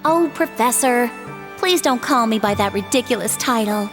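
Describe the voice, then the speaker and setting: A woman acting as an earnest young sorceress in a fantasy video game. Her voice sits fairly high, with measured, composed delivery and determination underneath. It rings in a roomy space with music clearly behind it. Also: lively intonation, close to an everyday voice. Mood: polite, familiar. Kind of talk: English-dubbed fantasy game cutscene acting and narration.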